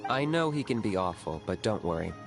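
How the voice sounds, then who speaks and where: Calm young hunter guy, lowish voice, even and unhurried, faint music under it. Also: gravelly. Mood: explaining. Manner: calm, measured, even-tempered.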